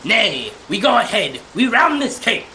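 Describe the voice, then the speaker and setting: A defiant, power-mad sea captain, played by a woman, declaims archaic orders with big swings in pitch. It is old-style radio drama with loud storm noise behind. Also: very animated, a little slow, full character voice. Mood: raging, defiant. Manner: commanding, archaic seafaring declamation. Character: defiant, tyrannical male ship's captain, mad with pride.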